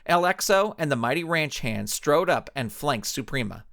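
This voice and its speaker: A man reading his own serialized story as an animated scripted narration. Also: on the high side, moderate pace, gravelly.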